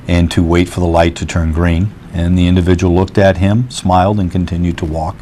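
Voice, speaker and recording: A man's voice: sits low, flat delivery, fairly quick, mellow, a little hiss or hum. A man with an American accent speaking as a police chief, giving an official statement to the press.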